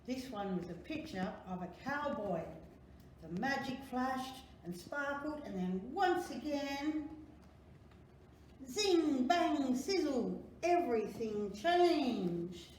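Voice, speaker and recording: A woman reading a children's picture book aloud in an expressive, dramatic storytelling manner, drawing out key words. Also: high-pitched, slow pace. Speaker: library storytime reader. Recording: very echoey room, faint noise.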